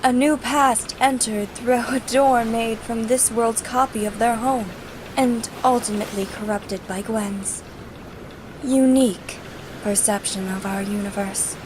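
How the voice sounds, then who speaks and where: A woman playing a serious, pragmatic superhero from the future in a comic dub, measured and explanatory. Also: on the high side, steady tone, natural voice. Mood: explaining. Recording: noisy home recording.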